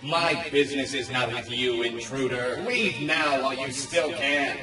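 An actor playing a vengeful, theatrical villain in a video game, grandiose and threatening. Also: male voice, medium pitch, a little slow, reverberant, far from the mic, music in the background, lightly acted.

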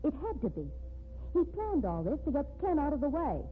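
A woman's voice: high-pitched, sing-song, a little fast, faint music behind. An actress playing an earnest, insistent woman defending the man she loves in a 1950s radio drama. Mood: anxious certainty. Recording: tinny, noisy 1950s radio recording.

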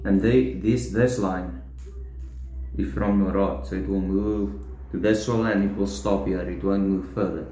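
A young man explaining step by step how to tie fishing tackle, in a halting, plain way while he works at a table indoors. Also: low-pitched, steady tone, warm tone. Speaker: fishing tutorial host. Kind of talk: step-by-step demonstration. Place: indoors at a wooden table, echoey room. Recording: roomy echo, faint room noise.

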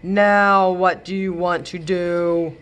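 A woman voicing a kind grandmother in a storybook who turns into a slow, flat zombie. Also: lowish voice, a little hiss or hum. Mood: zombie drone.

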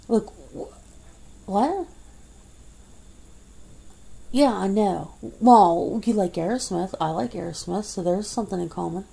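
Woman ASMR creator, casual one-sided home chat, rambling and laughing, husky mellow tone. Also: mid-range voice, takes it slowly, long pauses, low-quality recording. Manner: rambling, chatty, laughs often, many fillers. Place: indoors at home.

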